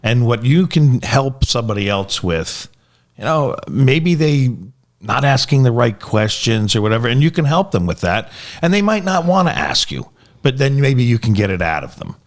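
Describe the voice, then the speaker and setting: A middle-aged man in casual podcast conversation, reflecting in a halting, folksy way. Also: medium pitch, big pitch swings. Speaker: podcast panelist. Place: small podcast room indoors at a table. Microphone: podcast microphone on a stand.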